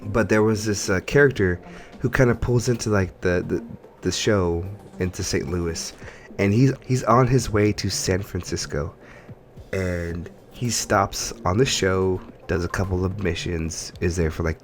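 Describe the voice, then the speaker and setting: Low-voiced man chatting on a gaming podcast. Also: a little slow. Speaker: podcast host. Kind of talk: casual gaming podcast chat.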